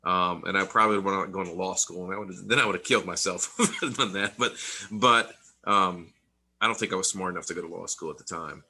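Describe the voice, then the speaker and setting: A man's voice: low voice, fast, sharp tone, breathy edge. A middle-aged man telling his story in a casual interview, rambling with many restarts and laughing between thoughts. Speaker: interview guest, Army veteran.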